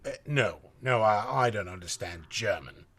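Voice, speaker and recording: An actor in a live radio drama playing excitable, halting foreign witnesses with emphatic, theatrical delivery. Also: male voice, on the low side, slightly rough, heavily acted. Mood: earnest, agitated.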